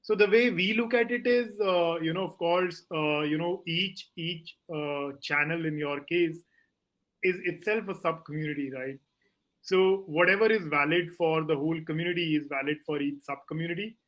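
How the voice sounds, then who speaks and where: A man's voice: fairly high pitch, moderate pace, a bit bright, poor audio. A man speaking as a panelist on a video call, hedging with many fillers. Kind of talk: panel discussion.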